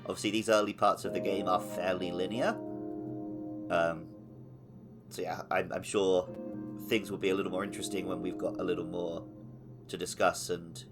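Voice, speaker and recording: A man's voice: deep voice, flat delivery, moderate pace, long pauses, over music. A man co-hosting a podcast, chatting casually about video games. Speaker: podcast co-host.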